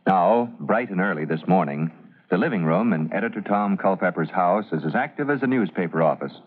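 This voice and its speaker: A man's voice: sits low, animated, brisk. An American man performing as the stirring, emphatic announcer of a firefighter adventure serial on old-time radio. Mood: dramatic, urgent. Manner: theatrical, emphatic. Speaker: announcer and narrator. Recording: muffled vintage radio recording.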